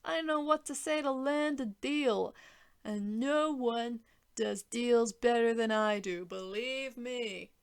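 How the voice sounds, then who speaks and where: A woman voicing an arrogant male politician who boasts and mocks with drawn-out emphasis. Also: fairly high pitch, smooth, voice pushed up. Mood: mocking, boastful.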